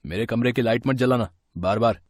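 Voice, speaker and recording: An actor playing an irritable, selfish husband in a storybook family drama, husky-voiced, impatient and insistent. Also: male voice, medium pitch, fairly quick, slight background noise, natural voice. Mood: irritated.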